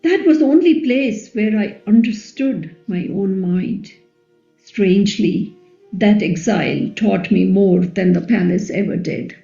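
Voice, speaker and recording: A woman playing a devoted, wounded epic queen in a play reading, speaking in a measured, reflective and solemn way, quietly resolute. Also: medium pitch, animated, gravelly, breathy edge, muffled recording, faint music behind, full character voice. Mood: tender, reflective. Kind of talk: play reading, radio drama.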